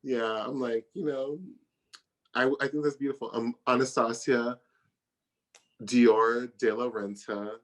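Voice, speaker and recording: A woman's voice: rumbling low, fairly even intonation, a little slow, long pauses. A woman in her early thirties, a guest in a casual interview, talking in a playful, chatty way and laughing easily. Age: 30s. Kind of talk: casual chat.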